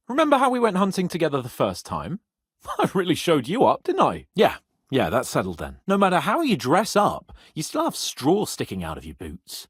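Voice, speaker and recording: Haughty young nobleman, wildly swooping pitch. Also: husky, dry recording, clean studio sound, exaggerated voice. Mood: teasing, playful, then indignant, haughty. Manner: haughty, sardonic, emphatic. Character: haughty, cocky young medieval nobleman, brash and foul-mouthed. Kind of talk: video game character dialogue.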